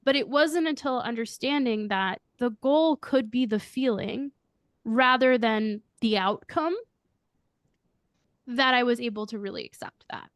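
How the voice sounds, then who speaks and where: A woman with a high, girlish voice answering interview questions, speaking earnestly and reflectively as a coach who leads a firm. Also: a little slow, clear, resonant tone, no room sound, studio quality. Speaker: guest, coach and firm leader.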